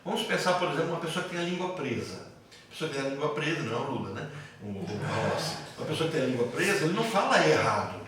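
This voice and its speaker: A man's voice: fairly high pitch, big pitch swings, rapid-fire, fairly bright tone. A man with a Brazilian Portuguese accent teaching a class in a didactic way, posing questions and answering them himself. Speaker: psychoanalysis teacher. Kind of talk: classroom lecture with questions to students. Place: classroom with students. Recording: very echoey room, distant mic, faint noise.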